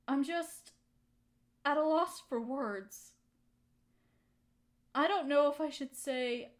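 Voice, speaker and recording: A woman acting a hurt young woman softening toward her best friend, in an intimate roleplay audio drama, emotional and halting. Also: high-pitched. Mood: hurt, confrontational.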